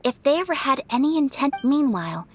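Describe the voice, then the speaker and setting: A woman playing a refined, calm young noblewoman and shrewd strategist in a video game, speaking formally and politely. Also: high voice, fairly quick, soft background music. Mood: composed, scheming. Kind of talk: video game dialogue acting.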